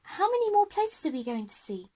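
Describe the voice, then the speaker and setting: A woman voicing excitable, curious schoolchildren in an audio drama, eager and exclaiming. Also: very high voice, brisk, voice pushed up. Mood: excited, cheeky.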